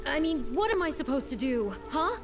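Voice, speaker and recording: A woman's voice: high-pitched, very animated, normal speed, far from the mic, music in the background. An actress playing a flustered, exasperated opera troupe manager in a video game, urgent and fretful as she complains. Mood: worried. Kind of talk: English video game voice acting.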